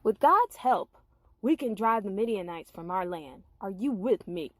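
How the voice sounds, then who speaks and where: A woman's voice: mid-range voice, lively intonation, a little slow, no room sound. A woman voicing Gideon, an anxious, reluctant male warrior, in an earnest, pleading storybook manner. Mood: resolved.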